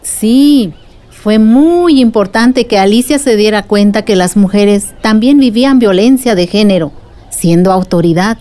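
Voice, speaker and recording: Actress as calm female storyteller, medium pitch, soft-edged tone, radio drama. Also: measured pace, lightly acted. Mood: explaining. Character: calm woman storyteller recounting village women's struggles.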